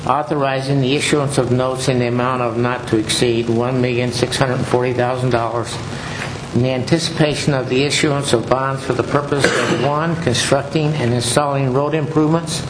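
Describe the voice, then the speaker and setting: A man speaking as a councilman, making a motion in a flat, formal way. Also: medium pitch, moderate pace. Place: council chamber. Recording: echoey chamber with background noise.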